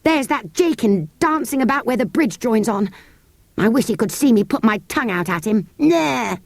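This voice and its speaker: Brief: eager commanding young boy, bright and high, played by a woman. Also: animated, moderate pace, gravelly, no echo, clean studio sound. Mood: excited. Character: bold young boy defending a castle.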